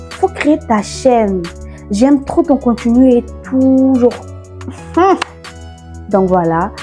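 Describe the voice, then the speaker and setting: Young woman, playful, sassy, warm mellow tone, faint music behind. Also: fairly high pitch. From Ivory Coast. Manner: chatty, playful, sassy, mixes slang. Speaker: YouTuber. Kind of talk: casual vlog chat.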